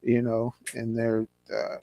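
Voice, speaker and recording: A man's voice: mid-range voice, completely flat delivery, slow, vocal fry, dark tone, dry recording, low-quality recording. A man in his sixties, from California, reminiscing as an interview guest in a rambling way, with many restarts. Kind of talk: casual conversation.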